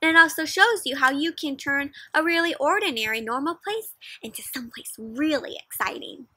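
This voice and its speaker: A young woman doing an expressive, interactive picture-book read-aloud for children, putting questions to the listener. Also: high-pitched, moderate pace, dry recording. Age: young adult. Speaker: children's story reader. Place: outdoors in a backyard with play structure.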